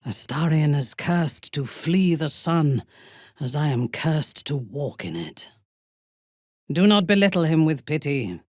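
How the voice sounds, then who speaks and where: A cold, menacing dark elf commander for a fantasy game. Her voice is low and husky, and she speaks slowly and deliberately, with wide, dramatic swings in pitch. She sounds imperious and disdainful. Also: lively intonation, no echo, studio quality, heavily acted. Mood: dry, composed. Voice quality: husky, breathy. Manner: slow, deliberate, imperious, precise.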